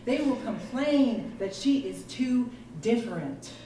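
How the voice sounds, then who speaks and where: A woman reading a literary story aloud in a measured, theatrical way at a live performance. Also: fairly high pitch, animated, a little hiss or hum. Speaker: story reader. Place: live performance in an arts venue. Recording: roomy live venue, distant mic, music behind. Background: loud live guitar music.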